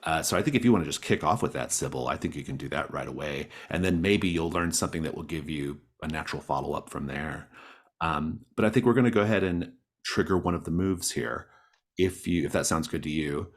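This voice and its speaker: Deep male voice, game master, casual explaining, encouraging manner. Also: a little fast. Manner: encouraging, affirming players' ideas. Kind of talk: casual tabletop game facilitation, explaining rules and setting.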